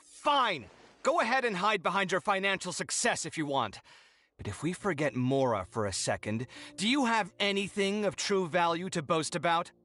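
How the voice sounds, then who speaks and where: A man's voice: high voice, expressive, normal speed, a bit bright, faint music behind. An actor playing a proud, easily exasperated young male architect who talks in an indignant, theatrical way. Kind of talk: video game dialogue.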